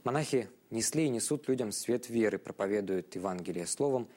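A man with a lowish voice presents a religious television programme. He delivers scripted educational narration. His phrasing is formal, bookish and measured. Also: expressive, darkish tone.

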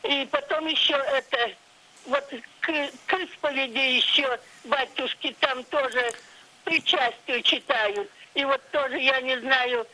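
A woman in her eighties from the Leningrad region of Russia, calling a live show by telephone with halting, repetitive, homely phrasing. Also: sits high, bright, forceful tone. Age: 80s. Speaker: caller to a live programme. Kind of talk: casual spoken question on a phone-in. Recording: tinny telephone line.

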